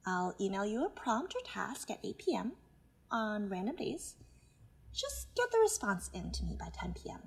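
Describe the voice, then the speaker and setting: An actress playing a patronizing self-help mentor who presses with controlling, condescending insistence in an audio drama. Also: female voice, mid-range voice, big pitch swings, normal speed, vocal fry, low-quality recording. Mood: pressing, insistent. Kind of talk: naturalistic audio drama acting.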